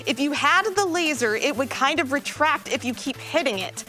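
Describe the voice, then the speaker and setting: A woman's voice: sits high, animated, normal speed, pushed and bright, faint music behind. An actress playing an enthusiastic geeky woman gamer in a live audio play, explaining things emphatically with casual slang. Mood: playful, coaching.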